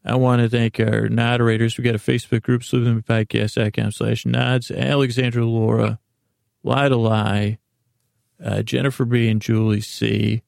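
A man's voice: mid-range voice, flat delivery, moderate pace, creaky, darkish tone, dry recording, clean studio sound. A man hosting a sleep podcast, rambling through a meandering bedtime monologue and stumbling over words on purpose. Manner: rambling, self-interrupting, botches words on purpose.

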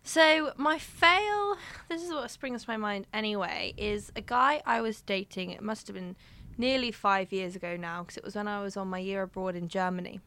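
A woman's voice: medium pitch, normal speed, sharp tone. A young woman on a podcast, talking candidly and rambling with many restarts. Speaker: podcast host. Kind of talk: casual chat.

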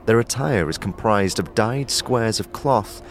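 A man's voice: on the low side, unhurried, slight background noise. A man reading scripted second-person narration for a fantasy audio drama. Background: music.

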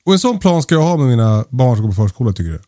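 A man's voice: mid-range voice, big pitch swings, dark tone, no echo, clean studio sound. A man hosting a podcast in casual chat, informally thinking aloud with everyday examples. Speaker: podcast host.

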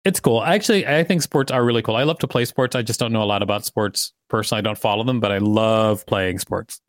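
A man's voice: fairly low pitch, fast talker, no room sound. A man co-hosting a show, reading an article aloud in a narrator's style and breaking off for playful, mock-serious banter.

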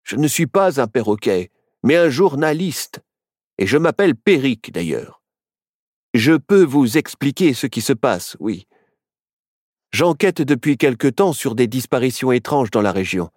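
A man's voice: mid-range voice, sing-song, a little slow, a little mellow, no room sound, clean studio sound, voice pushed up. A man voicing a serious, sensible journalist turned parrot, explaining with composure in a children's story.

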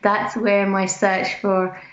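A woman, a podcast guest talking in a relaxed, talkative way with many ums. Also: medium pitch, takes it slowly. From England. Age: 40s. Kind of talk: interview conversation. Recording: muffled roomy remote audio.

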